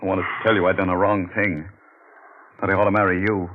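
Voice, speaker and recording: An actor playing a bitter young lover turned guilty accomplice, terse and grave, in 1940s radio style. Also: male voice, sits low, level tone, brisk. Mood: bitter, remorseful. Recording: tinny band-limited 1940s radio, faint noise.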